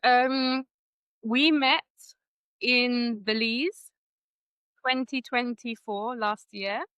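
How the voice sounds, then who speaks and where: A woman's voice: a little high, fairly even intonation, extremely slow, fairly bright tone, clean tone, dry recording, muffled recording. A woman scholar from Trinidad, a guest in a conversation, reflectively recounting a personal story. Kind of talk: conversational interview.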